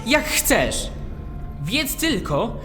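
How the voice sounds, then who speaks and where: A woman playing an eager, talkative, hopeful young male prisoner in a fantasy audio drama, excitable and insistent. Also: a little high, very slow, soft background music, heavily acted.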